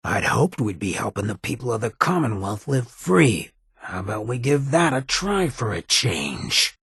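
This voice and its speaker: A roguish ghoul companion from a video game, with a heavily rasping, fairly low voice. He drawls street slang with laid-back swagger and sardonic loyalty. The sound is dry, with no room on it. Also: fairly bright tone, dry recording, heavily acted. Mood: irritated, stern. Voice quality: gravelly, raspy rasp.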